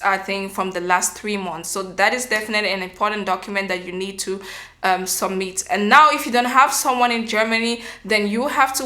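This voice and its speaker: A young woman from Cameroon, giving earnest step-by-step advice in a vlog, often pausing on "um" and restarting. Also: mid-range voice, sharp tone. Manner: earnest, explanatory, frequent um fillers and restarts. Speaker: vlogger.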